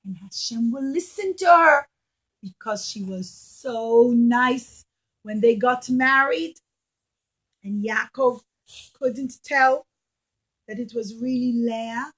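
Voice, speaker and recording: A woman teaching as an animated storyteller for children, speaking simply and emphatically and putting questions to her listeners. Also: fairly high pitch, slow, breathy edge, poor audio.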